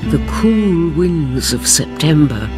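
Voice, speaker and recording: An actress playing a stately storyteller, narrating a radio drama in a measured, formal way over music. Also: female voice, sits low, sing-song, full character voice. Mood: grave, portentous. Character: stately woman storyteller addressing honoured listeners. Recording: music bed under the narration.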